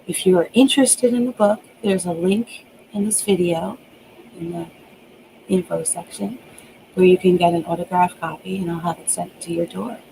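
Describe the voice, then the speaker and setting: A woman reading a story aloud as its author, with an expressive, theatrical delivery in a dramatic audiobook style. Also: on the low side, normal speed, gravelly, slight background noise, rough recording. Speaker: author reading their own book aloud.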